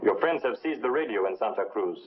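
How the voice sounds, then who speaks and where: An actor playing a grave, deferential soldier, formal and terse, in a 1950s film. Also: male voice, lowish voice, animated, a little fast, lightly acted. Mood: grave, urgent. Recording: muffled, faintly noisy vintage film soundtrack.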